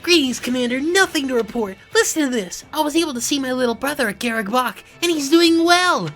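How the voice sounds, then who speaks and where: A woman playing an earnest, bright young soldier in a fantasy game, speaking sincerely and openly. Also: high voice, lively intonation, fairly quick, soft background music. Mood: dutiful, glad.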